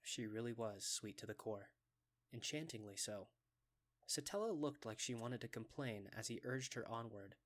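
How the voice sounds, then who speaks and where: A man's voice: medium pitch, moderate pace, fairly bright tone. A man narrating a scripted fantasy story for an anime fan dub.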